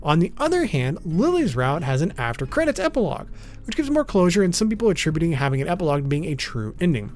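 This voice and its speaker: A man's voice: a little high, sing-song, fast talker, a little mellow. A man narrating informal scripted video commentary in a casual way, with wry asides. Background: background music.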